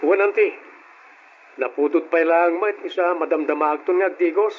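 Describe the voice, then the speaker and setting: A man's voice: fairly high pitch, normal speed, lightly acted. A man playing a meek, weary, put-upon young nephew in a radio drama, speaking with an Ilocano accent. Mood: weary, grumbling. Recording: muffled, noisy old radio drama.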